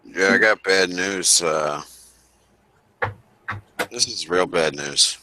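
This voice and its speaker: Very deep, bright-edged deadpan man. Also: slow, a little hiss or hum. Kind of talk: casual group chat.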